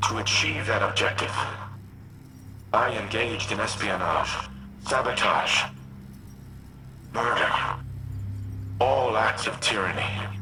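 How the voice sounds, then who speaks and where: An actor playing a grave, remorseful military artificial intelligence in a video game, speaking in a solemn, measured, deliberate way. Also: male voice, fairly low pitch, background noise, lightly acted. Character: remorseful military AI war machine, grave and noble. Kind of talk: video game character dialogue.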